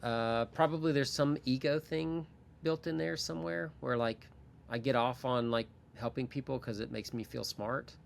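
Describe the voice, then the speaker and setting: A man in his late forties speaking as a guest on a casual podcast. He thinks aloud, candid and unhurried, with plenty of fillers. A faint hum of background noise runs under his voice. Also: mid-range voice, steady tone, creaky. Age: 40s. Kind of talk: casual interview.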